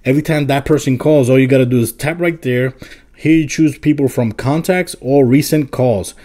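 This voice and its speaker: A man's voice: medium pitch, normal speed, dark tone. A man hosting a casual tech tutorial, explaining things informally step by step. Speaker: tech video host. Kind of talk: casual phone app tutorial.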